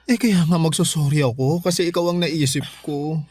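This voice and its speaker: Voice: medium pitch, steady tone, a little fast, a little mellow, slightly rough, no room sound, close to an everyday voice. An actor with a Filipino accent playing a doting, coy, forgiving parent in a campy, emotional radio drama style. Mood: apologetic. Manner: playful, campy, emotional, slang-laden. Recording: music under the voice.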